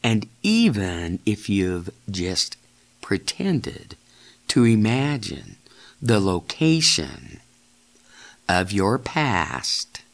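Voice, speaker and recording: A man with a low, gravelly voice leads a guided imagery meditation. He speaks extremely slowly, in deliberate phrases broken by halts, and his pitch moves up and down widely. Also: sing-song. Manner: deliberate, halting phrasing with repeated instructions.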